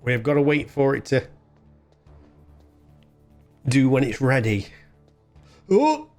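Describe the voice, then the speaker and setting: A man's voice: mid-range voice, wildly swooping pitch, takes it slowly, a little mellow. A man hosting a gaming video, chatting casually and thinking aloud as he plays. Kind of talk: casual game play commentary. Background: game music.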